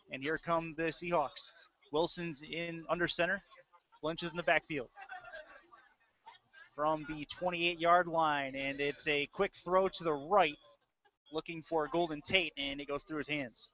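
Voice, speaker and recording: Male football play-by-play commentator, forward bright tone, clipped and casual, slightly muffled webcast sound. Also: fairly high pitch, fairly even intonation, a little slow. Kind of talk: live American football commentary. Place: indoor audio-only webcast. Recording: dull lo-fi audio.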